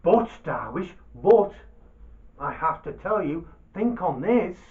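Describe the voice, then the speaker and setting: Storybook camel driver male reader acting: blustering, greedy, falsely concerned, emphatic and unhurried, on a thin phone-like recording. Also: on the high side, sing-song, voice pushed up. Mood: wheedling, insistent. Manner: exclamatory, emphatic, drawn-out pleading.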